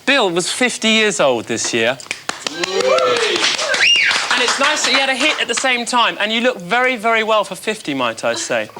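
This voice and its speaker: A man's voice: high-pitched, big pitch swings, normal speed, pauses often, very noisy. A man hosting a late-night TV chat show, teasing his guest playfully. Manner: playful, teasing, laughs often. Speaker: TV host. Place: TV studio.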